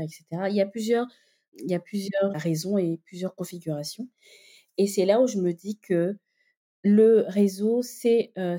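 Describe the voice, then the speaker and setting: Mellow, low-voiced woman explaining at length. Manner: explanatory, thinks aloud with many hesitations. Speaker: guest, founder of a community for entrepreneur mothers. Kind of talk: casual interview conversation.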